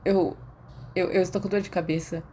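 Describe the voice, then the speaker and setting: A woman voicing a weary, awkward teenage boy in an audiobook, halting and apologetic. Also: fairly low pitch, fairly even intonation, warm tone, a little hiss or hum, close to an everyday voice. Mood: choked up, hesitant.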